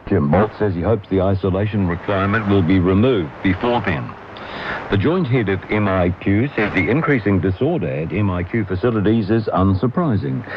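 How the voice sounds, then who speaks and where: A man reading a formal radio news bulletin in a measured way, heard through noisy shortwave reception. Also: deep voice, dull audio. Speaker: newsreader.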